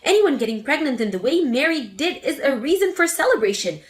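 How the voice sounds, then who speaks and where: A woman's voice: high-pitched, big pitch swings, fairly quick, faint music behind. A woman voicing an angry grown daughter who confronts her mother sharply. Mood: indignant. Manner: sharp, indignant.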